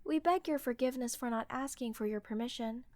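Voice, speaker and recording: A woman's voice: on the high side, fairly quick, darkish tone, dry recording, lightly acted. A woman playing an earnest, easily scandalized queen with a formal, ceremonious manner in a fantasy audio roleplay. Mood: reverent, pleading. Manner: ceremonious, proper, reverent.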